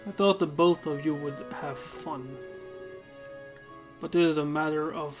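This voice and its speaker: A man's voice: on the high side, a little slow, music in the background, lightly acted. A man doing an apologetic secretive male guide. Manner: earnest, apologetic, evasive.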